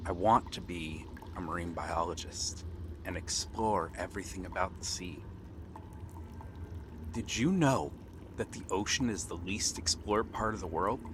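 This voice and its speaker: A man's voice: low-pitched, noisy background. A man playing a nervous, bookish young pirate prince in an ASMR roleplay, hesitant and earnest.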